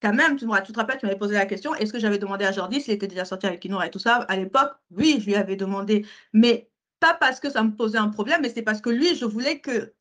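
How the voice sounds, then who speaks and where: A woman's voice: medium pitch, very fast, fairly bright tone. A woman co-hosting a candid conversation, talking with emphatic repetitions and frequent self-corrections. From grew up in France, born abroad. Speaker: podcast co-host.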